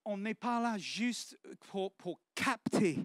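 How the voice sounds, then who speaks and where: A man's voice: high voice, expressive, takes it slowly, no room sound, clean studio sound. A man, preaching a sermon in church with earnest, exclamatory restarts. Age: 50s.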